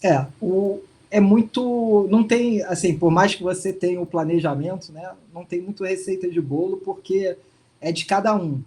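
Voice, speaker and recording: A man's voice: sits high, normal speed, mellow, low-quality recording. A man being interviewed over a video call, speaking in a casual, hesitant way with many restarts and fillers. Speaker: interview guest. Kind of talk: casual testimonial chat.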